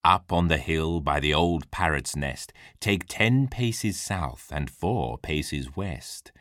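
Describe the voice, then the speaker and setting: A hearty pirate captain from a children's storybook, very low and forceful. He talks in a salty West Country pirate burr, theatrical and growly, and takes his time over the words. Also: lively intonation, takes it slowly, no room sound, clean studio sound, heavily acted. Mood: excited, hearty. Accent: stage West Country pirate. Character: gruff hearty old-salt pirate captain, a scheming grown man. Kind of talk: acted children's storybook dialogue.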